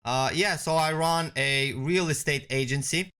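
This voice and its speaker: A man giving a conversational software walkthrough as a product demonstrator. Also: a little high, a little slow, clean tone.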